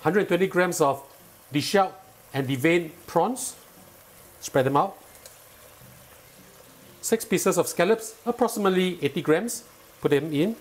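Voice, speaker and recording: A middle-aged man hosting a cooking show, explaining each step calmly and patiently in a home kitchen. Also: high-pitched, measured pace, pauses often. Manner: calm, patient and instructive, enthusiastic when tasting.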